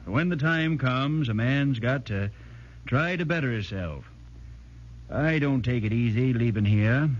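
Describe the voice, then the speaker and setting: A muffled vintage radio drama. A determined American frontier blacksmith speaks sincerely in a mid-pitched rural accent, folksy and plain. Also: male voice, expressive, a little slow. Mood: resolute, hopeful. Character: determined, folksy male New England pioneer blacksmith. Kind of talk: 1940s radio drama acting. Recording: muffled 1940s radio broadcast.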